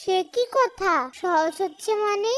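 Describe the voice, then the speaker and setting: Lady doing an older nosy village neighbour, cartoon, high voice, spooky warning tone. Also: measured pace, fairly bright tone, slight background noise, exaggerated voice. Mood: alarmed, warning. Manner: cautioning, dramatic. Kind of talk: cartoon voice acting.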